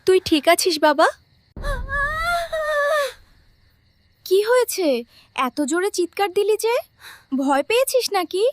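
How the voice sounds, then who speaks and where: A woman's voice: very high voice, lively intonation, moderate pace, slight background noise. A woman voicing a fretful, caring village mother in a cartoon fairy tale. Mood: concerned.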